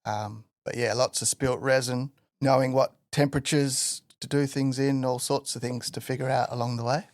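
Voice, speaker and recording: A man's voice: medium pitch, normal speed, no echo, studio quality. A man from Western Australia, a guest artist telling his story in a casual podcast interview, loose and full of fillers. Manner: relaxed rambling storyteller with many fillers.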